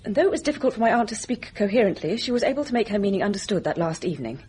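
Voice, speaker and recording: An actress playing a composed, formal young gentlewoman hiding hurt in a British radio mystery drama. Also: medium pitch, lively intonation, rapid-fire, a little hiss or hum, lightly acted. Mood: formal, reserved. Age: young adult. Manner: controlled, formal, decisive.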